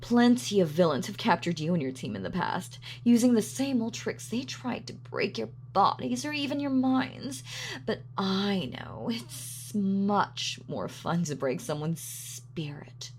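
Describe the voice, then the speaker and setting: A woman's voice: mid-range voice, expressive, measured pace, a little mellow, a little hiss or hum. A woman playing a gloating, sadistic supervillain in an audio roleplay, with a mock-sweet, sardonic, taunting manner. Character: gloating female supervillain, sadistic, darkly playful. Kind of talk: villain monologue in audio roleplay.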